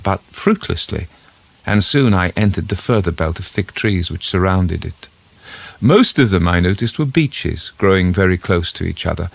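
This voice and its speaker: Very deep, gravelly male storyteller. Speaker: narrator of a ghost story. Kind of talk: scripted first-person literary narration.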